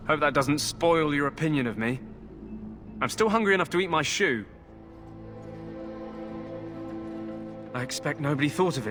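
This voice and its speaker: An actor playing a resigned, sly, hungry army deserter in a fantasy game, wheedling in a folksy way. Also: male voice, mid-range voice, natural voice.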